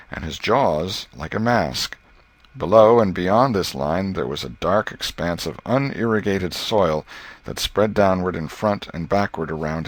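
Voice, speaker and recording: A man narrating a classic novel as an audiobook reader, in a measured, wry storytelling manner. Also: low voice, breathy edge, dry recording.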